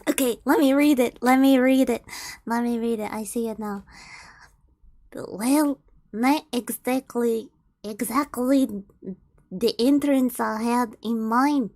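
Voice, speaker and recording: A woman's voice: on the high side, steady tone, takes it slowly, a little mellow, no room sound. A woman streamer reading fanfiction aloud, giggling and stumbling over the words as she goes.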